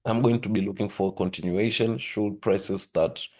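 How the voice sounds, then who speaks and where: A man hosting a trading lesson, talking steadily through charts with a hoarse voice and frequent throat-clearing. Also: lowish voice, moderate pace. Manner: steady explanatory, repeats "with that being said". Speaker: forex trading channel host. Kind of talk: screen-share trading lesson.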